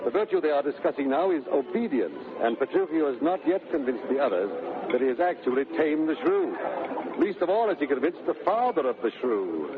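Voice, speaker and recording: A man's voice: a little high, very animated, brisk. A man acting as a formal, wry storyteller, reading scripted narration for a 1930s radio play. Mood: wry, narrating. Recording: tinny 1930s radio, music and crowd behind.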